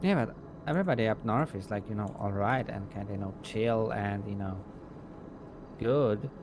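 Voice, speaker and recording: A man chatting casually in character during online roleplay, rambling and halting, with casual swearing. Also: fairly low pitch. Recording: loud car engine noise behind.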